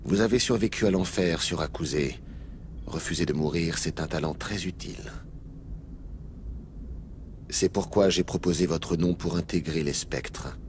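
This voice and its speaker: A man playing a grave, seasoned alien agent in a French video game, speaking with measured authority. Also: deep voice, a little mellow, slight background noise.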